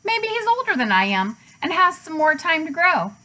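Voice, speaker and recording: A woman voicing a young, gentle, anxious and kind male pig with an earnest manner in a children's storybook. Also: extremely high pitch, big pitch swings, normal speed, voice pushed up, full character voice.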